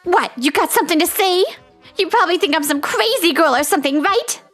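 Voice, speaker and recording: A woman's voice: extremely high pitch, animated, brisk, fairly bright tone, faint music behind. A woman voicing a tough, hot-tempered teenage delinquent girl who talks blunt and threatening. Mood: defensive. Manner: blunt, threatening street talk. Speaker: voice actor in a manga dub.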